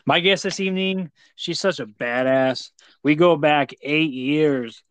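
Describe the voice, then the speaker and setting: A man's voice: fairly high pitch, unhurried, fairly bright tone, smooth, no room sound, soft background music. An American man hosting a casual interview, talking effusively in a rambling way with many repeats. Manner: effusive praise, rambling, repeats "you know". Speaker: podcast host.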